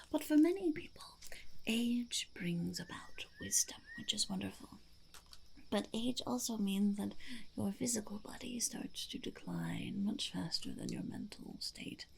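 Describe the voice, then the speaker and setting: A woman voicing a blunt, cheerfully cynical female goblin in an ASMR roleplay, musing and muttering in a creaky, breathy half-whisper. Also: fairly high pitch, a little mellow, slight background noise, exaggerated voice. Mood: musing, matter-of-fact.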